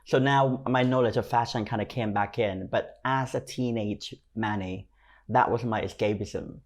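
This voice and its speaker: Male podcast guest, Thai accent, lower-pitched, talking through personal anecdotes in a conversational way. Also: fairly even intonation, moderate pace. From Thailand. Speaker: podcast guest, fashion stylist and creative consultant. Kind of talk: conversational interview storytelling.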